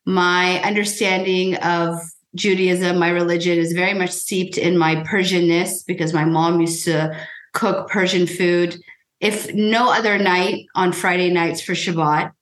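Echoey, lower-pitched American woman, thoughtful. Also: steady tone, measured pace. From United States. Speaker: podcast guest, physician. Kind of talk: reflective conversational interview answers. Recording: echoey, roomy sound.

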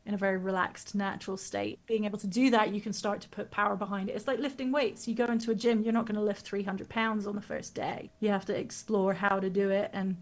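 A woman's voice: mid-range voice, steady tone, fast talker, hardly any pauses. A woman vocal coach answering interview questions casually, explaining with everyday comparisons and demonstrating sounds.

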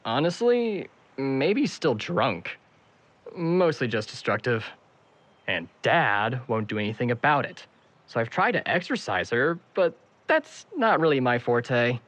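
A man's voice: mid-range voice, fairly bright tone. A young man acting a cocky, evasive, sardonic character with a grudge in an audio drama. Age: young adult. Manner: sarcastic, flippant.

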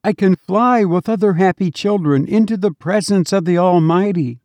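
A man's voice: high voice, normal speed, darkish tone, no echo, voice pushed up, lightly acted. A man putting on a sweet little boy spirit. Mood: tender pleading. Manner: tender, pleading. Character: gentle young boy spirit, sweet and loving. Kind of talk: storybook reading.

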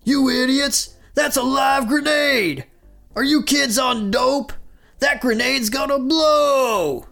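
A man voicing a gruff, hot-tempered army recruiting sergeant in a barking, commanding manner, as in a cartoon retelling. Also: high voice, animated, unhurried, a bit bright, soft background music, pitched above the performer's own voice, full character voice. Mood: furious.

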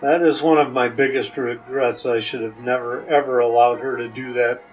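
An older man answering casual podcast questions in a plain-spoken, halting way. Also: mid-range voice, fairly even intonation, distant mic, lo-fi sound, soft background music.

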